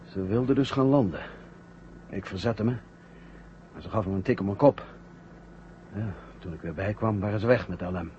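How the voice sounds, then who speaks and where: Guy acting stranded astronaut, anxious n confused, halting talk, old 70s radio play, dull sound. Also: fairly low pitch, dark tone, natural voice. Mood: troubled, bewildered. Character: Bill, stranded astronaut, anxious and bewildered survivor. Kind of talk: 1970 Dutch radio drama acting. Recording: dull, noisy 1970 radio drama recording.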